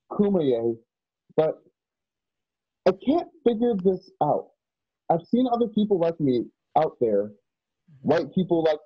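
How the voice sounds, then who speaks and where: Radio drama role: puzzled young man, acted by a man, husky and slow, lively pitch, muffled call audio. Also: full character voice. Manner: halting, plain-spoken, doubtful. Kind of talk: remote radio play reading. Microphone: video call. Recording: dull lo-fi video call audio.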